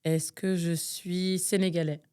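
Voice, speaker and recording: Lowish-voiced woman from Nigeria, exasperated. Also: level tone, a little slow, mellow, smooth. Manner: exasperated, thinks aloud with many fillers. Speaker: podcast co-host. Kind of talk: casual chat, guessing game.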